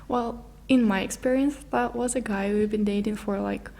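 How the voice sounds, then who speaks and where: Young woman with vocal fry. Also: medium pitch, fairly even intonation, dark tone. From Russia. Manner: halting, searching for words in English. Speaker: guest. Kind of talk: casual interview chat.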